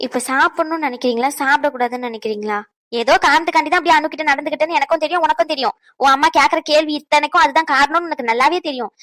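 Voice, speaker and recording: A woman doing a gentle probing grandmother. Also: high-pitched. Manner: gentle, coaxing, questioning.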